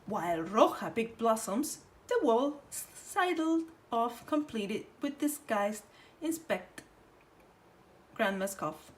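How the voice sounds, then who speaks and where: A woman storyteller reading a children's picture book aloud in an animated, theatrical way. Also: a little high, takes it slowly.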